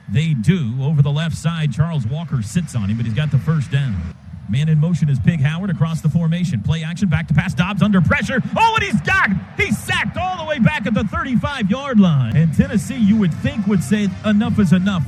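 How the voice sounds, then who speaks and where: A man doing live play-by-play radio commentary of a football game from a stadium booth, in rapid clipped calls that surge on big plays. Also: high-pitched. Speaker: play-by-play announcer. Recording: noisy live stadium broadcast.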